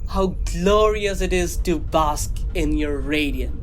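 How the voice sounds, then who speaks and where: A man's voice: fairly high pitch, slow pace, faint music behind. A man playing a pompous, bumbling starship captain in a comedy radio drama, with flowery, theatrical speech. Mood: fawning, evasive.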